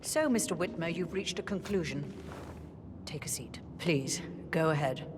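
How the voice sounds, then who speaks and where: An actress playing an imperious, ruthless elderly matriarch in a video game, with a commanding, haughty manner. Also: female voice, low voice, lively intonation, measured pace, background noise. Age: older. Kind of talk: scripted video game dialogue. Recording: loud background music. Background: music.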